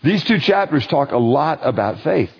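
Husky-voiced man preaching. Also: fairly high pitch, big pitch swings, moderate pace, dull audio. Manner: conversational, emphatic teaching with frequent checks for agreement. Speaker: pastor leading a men's Bible study. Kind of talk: sermon.

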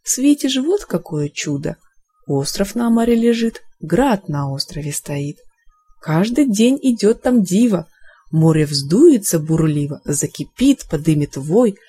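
A female reader speaks as a storybook seafarer reporting wonders with respectful awe. The delivery is grand rhythmic verse, lively in pitch, with a mellow, husky tone. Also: exaggerated voice. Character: seafaring merchant guests recounting marvels, respectful and awed.

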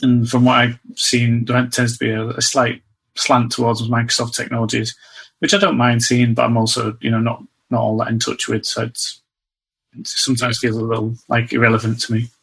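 A man hosting a podcast, talking in a relaxed, hesitant way with fillers. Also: mid-range voice, fairly quick, creaky, breathy edge. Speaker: podcast host. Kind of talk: casual chat.

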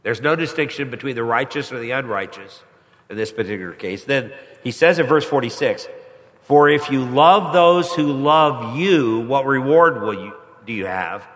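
A pastor preaching a sermon with a stuffy head cold, climbing higher and louder when he presses a point. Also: animated, moderate pace. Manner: rises in pitch and volume when pressing a point. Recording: long hall reverb.

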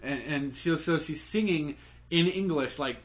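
A man co-hosting a podcast, explaining a topic at length in relaxed chat. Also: a little high, unhurried. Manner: explains at length, with fillers and restarts. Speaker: podcast co-host. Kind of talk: casual chat.

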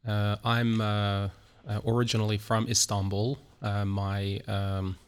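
A middle-aged man with a Turkish accent, a church planter telling his story as an interview guest, speaking haltingly with many fillers and restarts. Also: on the low side, level tone, smooth. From Turkey.

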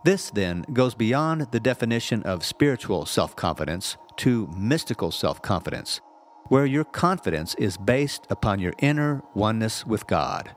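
A man reading a scripted spiritual text aloud in a measured, deliberate, instructive way. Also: on the low side, very animated, slight background noise. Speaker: audiobook-style reader, reverend.

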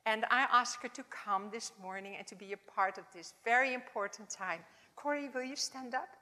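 A woman in her seventies with a Dutch accent, giving an informal, halting chapel talk in English as a second language. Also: on the high side. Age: 70s. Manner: warm, halting, non-native phrasing. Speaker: chapel speaker. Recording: long hall reverb.

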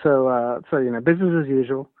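A man from Rico, a writer and editor who chats casually as a guest in a wry, relaxed way, with small hesitations. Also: mid-range voice, quick pace, no room sound. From Puerto Rico. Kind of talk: casual interview chat. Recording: dry, tinny phone-like line.

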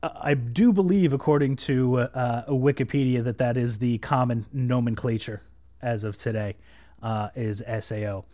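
A man's voice: medium pitch, moderate pace, warm tone. A man hosting a casual podcast, rambling with many fillers and restarts, a little nervous, on a cheap microphone. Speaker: podcast host.

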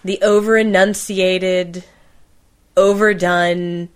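A woman's voice: on the low side, level tone, takes it slowly, smooth. A woman co-hosting a podcast in casual banter, with a joking, sarcastic manner. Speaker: podcast co-host.

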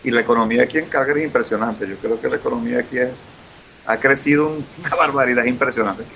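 A man's voice: medium pitch, quick pace, vocal fry, fairly bright tone. A man from Venezuela with a Venezuelan Spanish accent, chatting as an interview guest, effusive and eager, often repeating himself. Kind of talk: casual interview conversation. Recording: lo-fi with faint hiss.

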